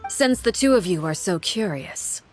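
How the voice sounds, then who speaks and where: A low-pitched, formal woman leader from a video game, played by an actress. She speaks with measured composure and quiet authority. Faint music sits behind the dialogue. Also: expressive, natural voice. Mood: composed, formal. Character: commanding, composed woman leader of secretive orphan house.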